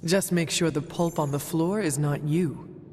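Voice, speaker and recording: A performer voicing a calm, earnest resurrected warrior in a video game, with formal, measured, old-fashioned speech. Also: medium pitch, faint music behind, natural voice. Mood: cautioning. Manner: formal, measured, avoids contractions.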